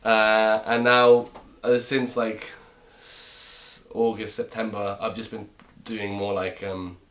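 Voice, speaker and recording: Low-voiced man in his twenties, joking. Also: flat delivery, slow, fairly bright tone, a little hiss or hum. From Romania. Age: 20s. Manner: joking, rambling with restarts. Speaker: comedian guest. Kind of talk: casual chat.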